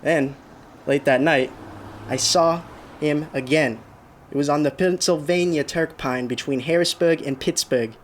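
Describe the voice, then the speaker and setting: Man acting a haunted traveler, rather high-voiced, over music. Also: measured pace, slight background noise, lightly acted. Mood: uneasy recounting. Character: haunted 36-year-old man recounting his fearful road trip. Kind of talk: radio drama acting.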